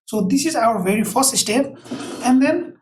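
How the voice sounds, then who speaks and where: Researcher type, Nepali accent, non-native English, searching for words, faint noise behind him. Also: male voice, very high voice, animated, a little mellow, recorded from a distance. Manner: halting, many "like, you know" fillers. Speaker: university researcher. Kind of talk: meeting presentation Q&A.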